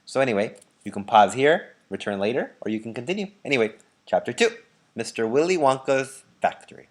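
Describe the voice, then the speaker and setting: A man reading a children's story aloud with expressive, dramatic emphasis. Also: mid-range voice, a little fast. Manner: dramatic emphasis with informal asides. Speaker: read-aloud narrator.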